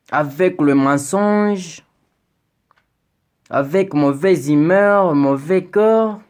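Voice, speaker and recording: A young man from Congo speaking an earnest, pleading video message to his president, with stammered repetitions. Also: fairly high pitch. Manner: earnest, pleading, rising into indignation with repeated stammered words. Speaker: citizen addressing the president.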